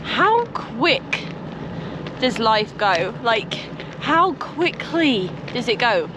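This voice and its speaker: A woman in her twenties, her voice husky from dust, talking earnestly and reflectively to her camera outdoors during a long bike trip. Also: a little high, sing-song, extremely slow, background noise. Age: 20s. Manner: earnest, reflective, with soft laughs. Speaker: vlogger.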